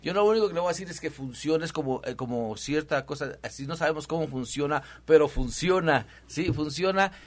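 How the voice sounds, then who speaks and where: A talkative man, his voice sitting a little high. He promotes a clinic in a loose, repetitive way, full of fillers and restarts. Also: a little fast, hardly any pauses. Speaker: host of a health show. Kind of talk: promotional radio talk.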